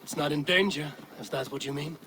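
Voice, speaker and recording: An actor playing a stern, steadfast Viking warrior with a measured, dry, commanding manner in a video game. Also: male voice, fairly high pitch, fairly even intonation, noisy background, lightly acted.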